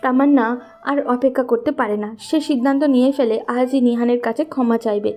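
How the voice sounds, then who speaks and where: A woman's voice: a little high, fairly even intonation, a little fast, dark tone, soft background music. A woman narrator reading a scripted love story.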